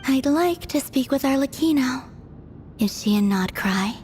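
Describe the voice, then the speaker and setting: High, serene moon goddess. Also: female voice, expressive, measured pace, faint music behind. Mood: calm, resolute. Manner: soft, composed, formal. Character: serene, weary, centuries-old moon goddess, quietly resolute. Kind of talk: video game voice acting.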